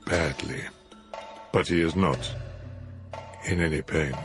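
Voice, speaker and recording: Deep guy doing a stiff uneasy messenger, quiet, slow, super low. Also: soft background music. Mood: grave. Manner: hushed, slow and formal. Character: grave uneasy male messenger, formal and stiff.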